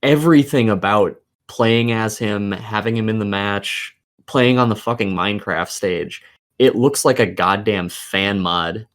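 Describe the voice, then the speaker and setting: Male gaming co-host, fairly low register, opinionated and foul-mouthed in casual discussion. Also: unhurried, fairly bright tone. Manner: profane, opinionated. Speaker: podcast co-host. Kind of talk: casual video game discussion.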